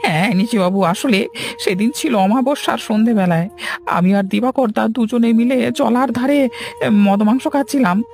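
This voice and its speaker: A man's voice: high-pitched, moderate pace, vocal fry, darkish tone. A man voicing a frightened, guilt-ridden villager in a horror cartoon, stammering and whining as he pleads. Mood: fearful confessing. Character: panicky guilt-ridden village man begging for his life. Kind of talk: Bengali horror cartoon dubbing. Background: music.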